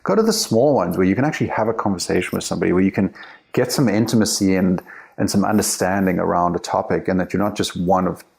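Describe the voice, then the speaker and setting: Deep, gravelly South African man. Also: quick pace, darkish tone. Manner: candid, emphatic, laughs easily, repeats words while thinking. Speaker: guest, company CEO and author. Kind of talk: casual interview chat.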